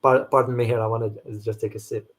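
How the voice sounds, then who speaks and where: A man's voice: medium pitch, level tone, fast talker. A middle-aged man, raised in Morocco with Arabic as a first language, hosting a casual livestream chat and answering viewers with rambling restarts. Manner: rambling, frequent restarts and fillers, thanks viewers by name. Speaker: host answering viewer questions.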